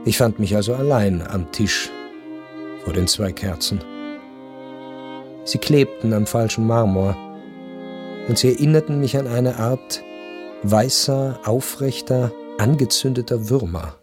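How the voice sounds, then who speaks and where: A man in a radio play acting a melancholy, detached baron who tells his story in measured, sombre literary narration. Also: mid-range voice, over music, natural voice. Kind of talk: literary first-person narration in radio drama.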